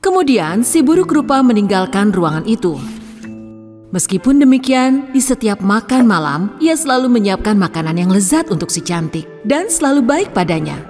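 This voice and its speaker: A woman's voice: medium pitch, big pitch swings, normal speed, a little mellow, long reverb tail, faint music behind. A woman narrating a scripted fairy tale for children as storyteller.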